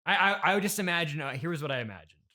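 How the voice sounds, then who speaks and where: Guy hosting a comedy podcast, highish sharp voice, stammers a lot, sounds politely incredulous. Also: lively intonation, rapid-fire. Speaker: podcast host interviewing a guest. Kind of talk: improvised comedy interview, casual.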